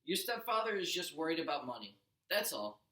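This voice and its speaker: A man voicing a kind, affectionate, even-tempered mother in a storybook read-aloud. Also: fairly high pitch, a little fast. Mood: calm, placating. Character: Percy's kind, soothing, doting mother, adult woman. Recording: roomy echo.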